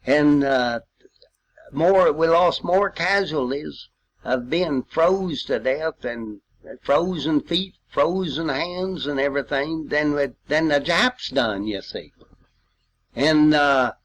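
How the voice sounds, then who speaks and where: Slow-talking, high-pitched elderly man. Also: rough recording. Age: 80s or older. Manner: folksy, rambling storytelling with old-time expressions. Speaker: World War II veteran sharing memories. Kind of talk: informal spoken reminiscence.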